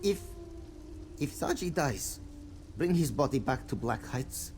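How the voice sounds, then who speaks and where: A man's voice: mid-range voice, sing-song, unhurried, a little mellow. An actor playing a boastful but cowardly, lovable cat-man adventurer in a fantasy video game, theatrical with nervous hesitations. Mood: subdued. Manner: theatrical bragging with nervous hesitation, third-person speech. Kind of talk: video game voice acting, comic fantasy dialogue. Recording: game audio with faint music behind.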